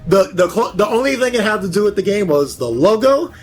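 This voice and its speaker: A man with a notably high voice speaks in a casual, opinionated group chat. He is emphatic and tends to repeat phrases to drive a point home. Faint music plays behind him. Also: big pitch swings, brisk. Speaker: panelist at a fan round table.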